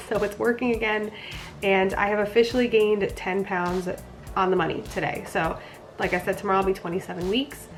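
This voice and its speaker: A young woman vlogging a casual pregnancy update, sounding nasal from a cold, chatty and rambling. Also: mid-range voice. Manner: chatty, rambling, frequent fillers.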